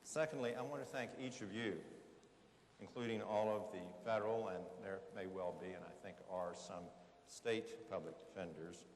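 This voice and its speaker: An American man, a judge, giving formal opening remarks to an audience in deliberate, measured phrases with pauses. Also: lowish voice. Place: large historic courtroom. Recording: echoey hall, faint background noise.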